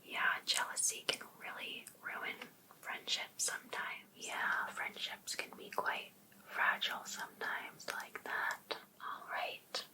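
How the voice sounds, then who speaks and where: A woman playing an overbearing, obsessive female life coach in an ASMR roleplay, softly asking attentive questions with sweet encouragement. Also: lightly acted, poor audio. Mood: attentive, encouraging. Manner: soft, unhurried, attentive questioning. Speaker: ASMR performer.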